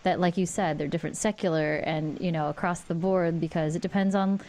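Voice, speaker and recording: A woman's voice: on the low side, fairly even intonation, darkish tone. A woman from California, co-hosting a podcast, speaking in a playful, joking way. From California, USA. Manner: playful, joking, quick laughs. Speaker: podcast co-host. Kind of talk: casual chat.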